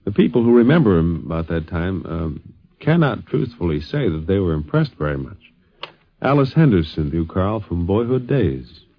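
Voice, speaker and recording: A man's voice: sits low, big pitch swings, normal speed. A man reading scripted narration for a radio documentary, on a dull 1950s radio recording.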